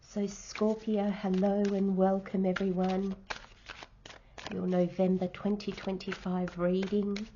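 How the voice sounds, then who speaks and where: A woman giving a tarot reading, nasal and croaky from hay fever, speaking softly and sometimes slipping into a whisper. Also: medium pitch, flat delivery, dull audio, a little hiss or hum. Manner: soft, unhurried, drops into whispers while laying cards. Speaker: tarot reader.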